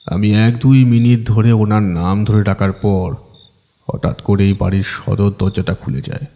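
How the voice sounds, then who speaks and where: Guy reading a scary story, deep-ish soft dark voice, dramatic, big reverb, crickets behind. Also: steady tone, normal speed. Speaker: audiobook narrator. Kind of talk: dramatic first-person horror story narration. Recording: voice with long reverb.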